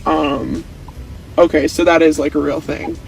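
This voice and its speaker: A man with a fairly high, gravelly voice talks casually in short, spontaneous phrases. He sounds distant from the microphone, in a clip with music underneath. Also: little pitch movement, measured pace.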